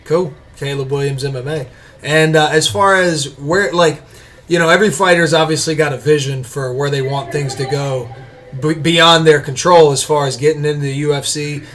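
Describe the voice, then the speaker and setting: A man hosting a casual podcast, talking with excitement and trailing off quietly at sentence ends. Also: fairly high pitch, moderate pace. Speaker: podcast host. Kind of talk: casual chat and ad reads.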